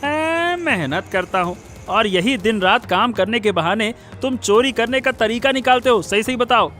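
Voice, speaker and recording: A man's voice: extremely high pitch, lively intonation, normal speed, pushed and bright, soft background music, full character voice. A man voicing a hostile, bullying village accuser in a cartoon, harsh and scolding. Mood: scornful accusing. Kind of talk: Hindi moral-story cartoon voice acting.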